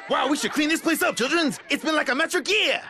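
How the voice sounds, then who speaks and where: A man's voice: extremely high pitch, lively intonation, quick pace, pushed and bright, exaggerated voice. A man voicing a big, dim-witted, cheerful and muddled bandicoot who blurts simple phrases in a game cutscene. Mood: goofy. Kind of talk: animated game cutscene acting. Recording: music behind the voice.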